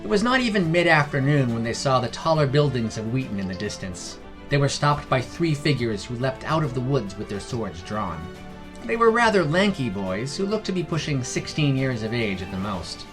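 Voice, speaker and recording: A man's voice: medium pitch, big pitch swings, moderate pace. A man reading scripted fantasy story narration as the narrator of a fiction podcast. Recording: music behind the voice. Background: background music.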